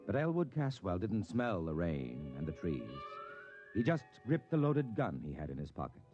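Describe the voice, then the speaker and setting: Male narrator, deep, dramatic old-time radio storytelling, prominent music behind, muffled sound. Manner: measured, ominous storytelling. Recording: dull vintage radio, music underneath.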